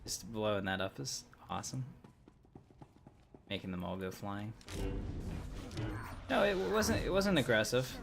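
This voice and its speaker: A man's voice: on the low side, expressive, unhurried, gravelly, pauses often, noisy background. A man livestreaming a game who chats casually in a rambling way with frequent fillers. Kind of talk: casual gameplay chat.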